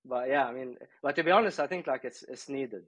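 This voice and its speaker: A man in his forties from Lebanon, answering interview questions in a relaxed, reflective way with many pauses. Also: medium pitch, husky, no room sound. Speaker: guest, customer success executive.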